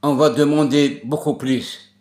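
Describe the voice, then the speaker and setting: Man, slow and insistent political commentator, echoey room. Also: fairly high pitch, steady tone, darkish tone. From Kabylie, Algeria. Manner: emphatic, insistent, repeats words for stress. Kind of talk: impassioned political monologue.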